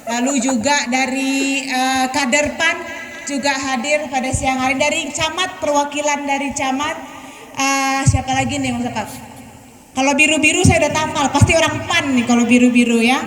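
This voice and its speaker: A woman's voice: high-pitched, fairly even intonation, normal speed, fairly bright tone. A woman around thirty giving a chatty, teasing speech to students at a school visit. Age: about 30. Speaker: guest speaker visiting a school. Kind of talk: lively speech to students, joking and quizzing. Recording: echoey hall with crowd noise.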